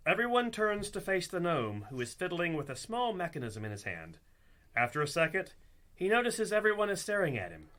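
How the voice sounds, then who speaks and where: A man narrates a comedy radio play with animated intonation. His voice sits a little high, in a scripted storytelling style. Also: brisk.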